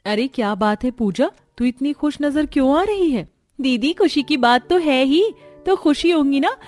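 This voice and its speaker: A woman's voice: sits high, expressive, moderate pace, a little mellow, dry recording, soft background music. A woman voicing earnest, caring women of a poor village family in a cartoon story. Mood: happy, hopeful. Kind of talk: Hindi cartoon story dialogue.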